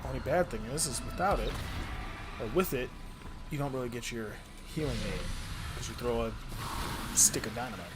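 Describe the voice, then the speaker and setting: A man's voice: mid-range voice, normal speed, long pauses, very noisy. Young guy with a stuffy cold rambling about his game build, game sounds going underneath. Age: young adult. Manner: rambling, self-deprecating, explains build tips. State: sniffly from a cold. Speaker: gaming content creator. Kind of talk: casual gameplay commentary.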